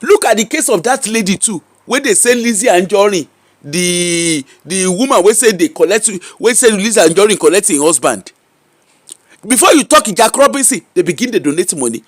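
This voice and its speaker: A man's voice: high-pitched, lively intonation, normal speed, fairly bright tone, no room sound. A man with a Nigerian accent giving casual, mocking commentary full of rhetorical questions. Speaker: social media commentator. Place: UK-based.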